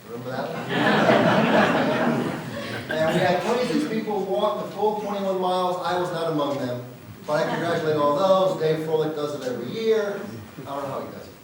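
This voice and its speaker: Hoarse male presenter, emphatic and proud, slightly high voice, booming room sound. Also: background noise. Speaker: organization leader presenting annual results. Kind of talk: annual meeting speech. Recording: very echoey hall, far from mic.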